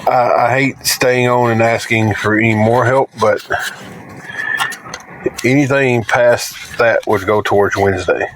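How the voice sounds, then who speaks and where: A man with a thick Southern accent and a raspy, stuffy voice, chatting haltingly and apologetically on a phone livestream. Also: mid-range voice, steady tone, noisy background. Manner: halting, repeats words, apologetic. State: stuffy nose. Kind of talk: casual livestream chat.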